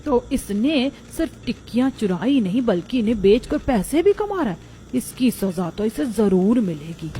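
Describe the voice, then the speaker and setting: A woman's voice: a little high, very animated, normal speed, warm tone, slight background noise. A woman voicing a shrewd, supportive female neighbour in a cartoon, assertive and righteous. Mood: suspicious, determined.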